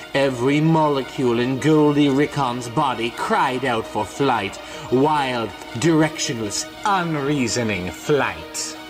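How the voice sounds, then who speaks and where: A man's voice: mid-range voice, low-quality recording. A man narrating a horror comic in a grave, dramatic, scripted storytelling style. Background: musical score.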